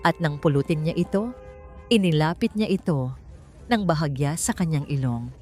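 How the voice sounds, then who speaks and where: Low-voiced Filipino woman narrating over music. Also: moderate pace, warm tone. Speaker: audiobook narrator. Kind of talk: dramatic scripted story narration. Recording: music bed under the voice. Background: background music.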